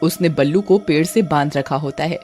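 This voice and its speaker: A woman's voice: low voice, normal speed, warm tone, soft background music. A woman giving scripted, dramatic narration of a story.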